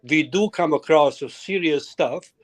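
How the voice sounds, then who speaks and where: A man's voice: fairly high pitch, faint music behind. Home inspector man. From former Yugoslavia. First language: Croatian. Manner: halting non-native phrasing. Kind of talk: casual interview.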